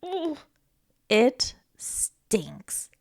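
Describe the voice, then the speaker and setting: A woman reading a children's book aloud as a storytime host, in an expressive, playful manner with questions to the listener and dramatic pauses. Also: on the high side, gravelly. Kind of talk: animated children's read-aloud.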